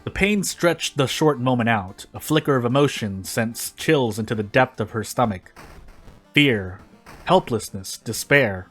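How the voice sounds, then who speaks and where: A man's voice: mid-range voice, animated, a little slow, a bit bright, soft background music. A man informally reading a story aloud, now and then stumbling and rereading phrases. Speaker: fan fiction reader on a gaming video.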